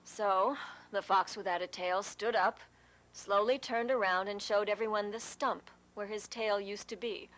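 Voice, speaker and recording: A woman narrates a fable for children. She speaks as a storyteller, with a voice that sits at an average pitch for a woman. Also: fairly even intonation, a little slow, fairly bright tone, poor audio.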